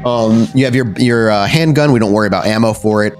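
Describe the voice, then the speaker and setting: A man's voice: lowish voice, fast talker, darkish tone. A man narrating a tabletop roleplaying game as the game master. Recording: music behind the voice.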